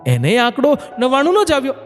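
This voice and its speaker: A man narrating a scripted moral story in an expressive, dramatic way. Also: extremely high pitch, fast talker. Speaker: narrator of motivational stories. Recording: long hall reverb, music bed. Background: background music.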